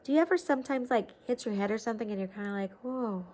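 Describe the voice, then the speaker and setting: A woman's voice: a little high, lively intonation, rapid-fire, a little mellow, slight background noise. A woman reading a picture book aloud to children, expressively, with coaxing questions and asides to her listeners.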